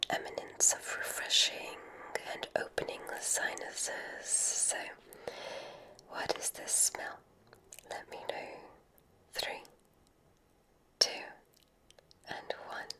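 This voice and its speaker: A woman playing an encouraging witch examiner at a magic school in an ASMR roleplay, speaking in a soothing, breathy whisper with deliberate pauses. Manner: slow, soothing, gently enthusiastic, deliberate pauses.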